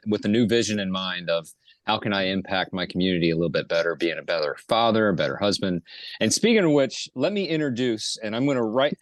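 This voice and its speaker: A middle-aged man hosts a casual podcast with a lowish voice. The sound is dry and close, no room around him. He speaks earnestly, pausing on fillers as he works through his notes. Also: no room sound. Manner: earnest, halting, fillers, reads prepared intro. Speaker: podcast host. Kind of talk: casual podcast chat and guest introduction.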